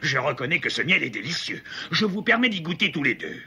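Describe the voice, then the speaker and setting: A man voicing a blustering, boozy animal villain in a French cartoon, pompous and cruel, with a gravelly, sharp-edged voice. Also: fairly high pitch, very animated, brisk, slight background noise, full character voice. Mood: gloating, menacing. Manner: pompous, lordly. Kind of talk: French cartoon dub acting.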